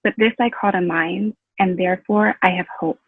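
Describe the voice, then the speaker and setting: A woman reading scripture aloud in a solemn, measured style. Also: fairly low pitch, fairly even intonation, breathy edge, no room sound. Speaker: scripture reader. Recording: dry, slightly phone-like narrow band.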